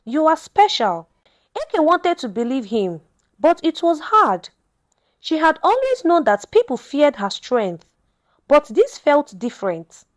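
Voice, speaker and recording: A woman narrating a scripted folktale in a dramatic, measured storytelling manner. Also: sits high.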